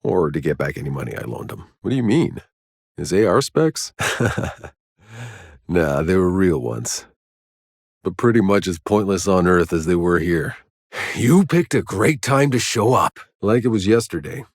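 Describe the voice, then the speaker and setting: An actor playing a gruff, world-weary soldier and scavenger in a video game, terse and dry, with a low husky voice. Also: male voice, big pitch swings, normal speed, no room sound, full character voice. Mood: dry, wry.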